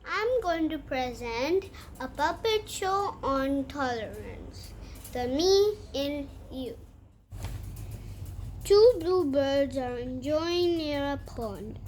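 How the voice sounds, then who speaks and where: A woman's voice: high-pitched, expressive, slow, a little mellow. A young schoolgirl presenting a scripted puppet-show story as its narrator. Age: child, early primary school. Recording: faint background noise.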